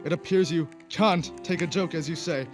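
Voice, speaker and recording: A man's voice: a little high, normal speed, faint music behind, lightly acted. A man acting a pompous, temperamental aristocratic poet in a period radio drama, theatrical and condescending. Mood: mocking.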